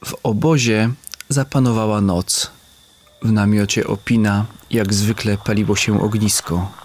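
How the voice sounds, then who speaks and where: A man narrating an audio drama in a measured storytelling manner. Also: on the low side, steady tone, darkish tone.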